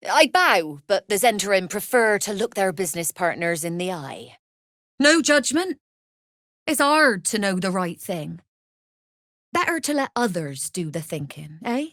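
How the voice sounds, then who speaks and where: A woman's voice: mid-range voice, very animated, unhurried, a bit bright, no echo, studio quality. A woman playing a shrewd, worldly smuggler and trader in a video game, speaking in a sly, wry, businesslike manner. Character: shrewd, worldly female smuggler-merchant of a criminal trade network. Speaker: trader and guild agent. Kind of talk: video game character dialogue.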